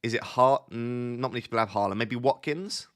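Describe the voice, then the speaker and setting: A man's voice: mid-range voice, fairly quick, a bit bright. A man hosting a casual live stream, answering viewer chat. He has a slight cold and sounds a little sniffly, thinking aloud and often restarting his sentences.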